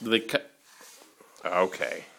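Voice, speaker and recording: A father filming his young son in a basement, chatting playfully and asking gentle questions. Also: low voice, steady tone, slow, crowd noise. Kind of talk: casual home-video chat with a child. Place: concrete-block home basement, indoors. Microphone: handheld camera held by the speaker.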